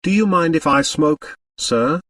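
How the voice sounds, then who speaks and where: A man's voice: a little high, measured pace, a bit bright, no room sound, studio quality, distant mic, voice pushed up. A man voicing a lazy, boyish charmer in his thirties in an audiobook, airy, nonchalant and lightly ironic. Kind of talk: audiobook character dialogue.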